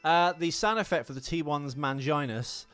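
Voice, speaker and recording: A man's voice: a little high, normal speed, fairly bright tone, clean tone. A man co-hosting a podcast, chatting casually about films and drifting off into rambling tangents. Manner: rambling, easily sidetracked storyteller. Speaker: podcast co-host. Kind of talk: casual film trivia chat.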